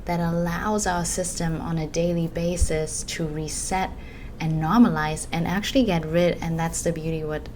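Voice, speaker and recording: A woman's voice: fairly low pitch, steady tone, normal speed, darkish tone, a little hiss or hum. A woman who teaches meditation, talking in a flowing, casual way that is full of filler words. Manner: flowing, with many "you know" and "like" fillers. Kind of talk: casual podcast conversation.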